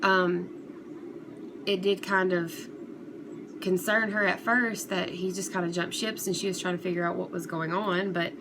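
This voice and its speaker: A woman's voice: fairly low pitch, flat delivery, a little slow. A woman giving casual, unscripted opinion commentary as a vlogger, talking in a hesitant, rambling, conversational way. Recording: clearly noisy background ambience.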